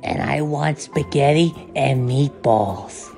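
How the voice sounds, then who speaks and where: A man voicing a hot-tempered, clingy young male frog in a homemade skit, whiny and quick to threaten. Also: medium pitch, gravelly, darkish tone, faint music behind. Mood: angry.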